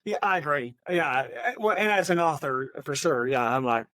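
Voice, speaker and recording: A man's voice: a little high, expressive, a little fast, sharp tone, dry recording. A man co-hosting a podcast in a casual book discussion, with an agreeable, informal way of talking. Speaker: book podcast co-host and author.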